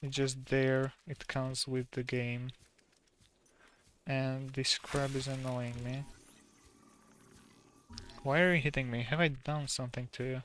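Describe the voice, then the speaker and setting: A soft-spoken man with a Slovak accent, a native Slovak speaker, gives calm, casual commentary while streaming a game. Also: mid-range voice, slow, pauses often, slight background noise.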